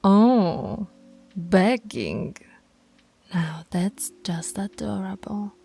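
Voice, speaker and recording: A woman's voice: medium pitch, drawn-out words, vocal fry, warm tone, slightly rough, soft background music, exaggerated voice. A woman voicing a dominant female werewolf pack alpha in an audio roleplay, teasing and commanding, often dropping to a whisper. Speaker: voice actress.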